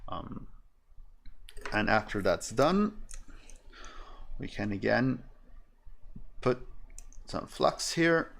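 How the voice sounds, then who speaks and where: Man, German accent, slow and low, gravelly, casual workbench tutorial. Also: a little hiss or hum. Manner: relaxed, thinking aloud while working, many fillers. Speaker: electronics streamer.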